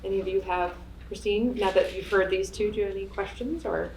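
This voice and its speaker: A woman's voice: medium pitch, a little fast, a little mellow. A woman moderating a panel in a friendly, hesitant way with fillers. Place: lecture hall. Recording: echoey hall with noise and clicks.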